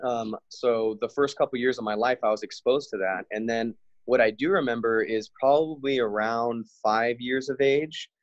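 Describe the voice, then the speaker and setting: A man's voice: medium pitch, normal speed, no room sound, muffled recording. A man in his mid-twenties from Hawaii with an American accent, explaining things earnestly in casual, slangy interview talk. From Hawaii, Big Island, United States. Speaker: podcast guest, fitness and bodywork practitioner.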